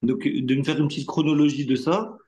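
A man's voice: a little high, fast. A male actor whose first language is Arabic, telling his story in a casual, slangy way and acting out the lines of the people in it. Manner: animated, slangy, acts out quoted dialogue. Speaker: actor, interview guest.

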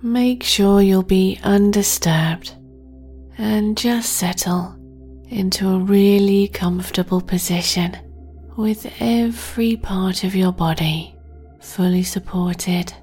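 A woman guiding a sleep meditation in a soft, breathy near-whisper, gently and with long pauses. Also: mid-range voice, fairly even intonation. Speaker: meditation guide and podcast host. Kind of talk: guided sleep meditation, soothing narration. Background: meditation music.

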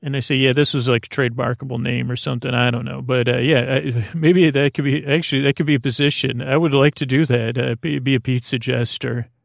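A man hosting a sleep podcast, rambling in a gently silly, soothing way, halting and circling back on his words with a gravelly creak. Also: medium pitch, steady tone, no echo, studio quality. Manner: meandering, halting, trailing off mid-word and circling back. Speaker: podcast host. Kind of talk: rambling bedtime-story monologue to help listeners fall asleep.

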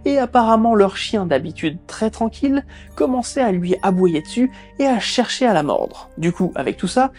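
A woman hosts and narrates a creepy tale about an old object, dropping sarcastic asides along the way. Her voice is soft and dark in tone, with faint music under it. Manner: lively, sarcastic asides with squeaky put-on voices. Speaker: host. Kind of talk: storytelling narration with jokes.

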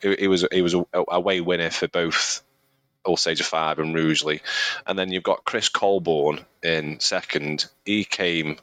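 A man's voice: low voice, fairly even intonation, moderate pace, fairly bright tone, no echo. A man co-hosting a podcast, chatting casually and rambling with restarts. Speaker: podcast co-host, amateur runner. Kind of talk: casual chat.

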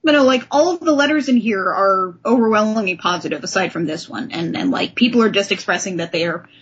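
A woman's voice: medium pitch, lively intonation, fairly quick, husky. A woman hosting a discussion podcast about comic books. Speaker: podcast host. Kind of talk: comic book discussion with dramatic letter reading. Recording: dull, lo-fi podcast audio.

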